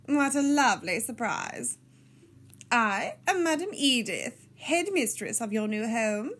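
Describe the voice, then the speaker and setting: A prim, gushing gray-haired headmistress , acted by a woman reading a storybook, greets people in a high, breathy voice. Her tone is affected and over-polite, and it swoops up and down. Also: big pitch swings, measured pace, fairly bright tone, full character voice.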